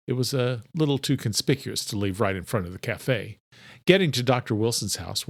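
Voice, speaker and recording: A man's voice: medium pitch, no room sound, studio quality. A man reading scripted narration from a thriller audiobook as its narrator.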